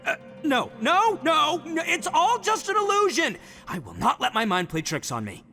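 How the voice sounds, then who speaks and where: A brash young oni gang leader, high-pitched for a guy and swooping all over. The voice is bright, boisterous and slangy, in anime game dub style. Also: wildly swooping pitch, moderate pace, creaky, faint music behind, full character voice. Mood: defiant.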